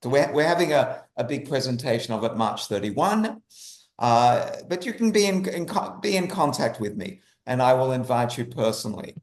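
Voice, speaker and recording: A man speaking as an interview guest, enthusiastic and halting, often repeating phrases as he goes. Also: mid-range voice, lively intonation. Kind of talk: conversational interview talk. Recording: roomy echo.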